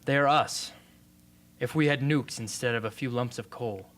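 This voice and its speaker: A man's voice: medium pitch, moderate pace, fairly bright tone, natural voice. A man playing an earnest, defiant young rebel and loyal friend in an amateur radio drama. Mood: serious, measured.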